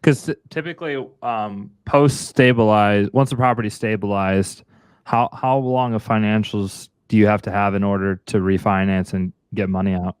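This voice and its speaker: A man's voice: fairly low pitch, steady tone, normal speed, clean tone, no room sound. A man hosting a podcast, talking conversationally as he shares experience and puts questions to a guest. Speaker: podcast host, investor. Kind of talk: conversational interviewing.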